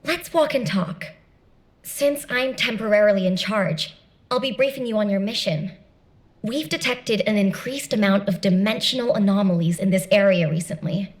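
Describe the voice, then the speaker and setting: A woman's voice: mid-range voice, natural voice. Woman acting a chatty, easygoing young female soldier. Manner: casual, chatty, musing. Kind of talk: video game dialogue, conversational briefing.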